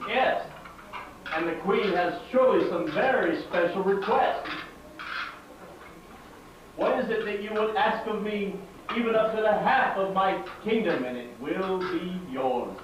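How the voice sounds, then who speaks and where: Man playing a high-voiced, declaiming puppet king. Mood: stately. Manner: declamatory, deliberate. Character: grand male king puppet, proud and quick to outrage. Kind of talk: amateur puppet show acting. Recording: echoey hall from far off, dull, noisy.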